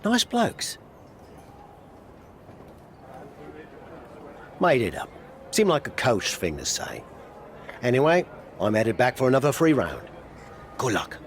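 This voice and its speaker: A man's voice: mid-range voice, wildly swooping pitch, a little slow, pauses often, a bit bright, a little hiss or hum. A man playing a jovial, matey veteran British wrestler and coach in a video game cutscene, chummy and breezily boastful. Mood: cheery, tipsy.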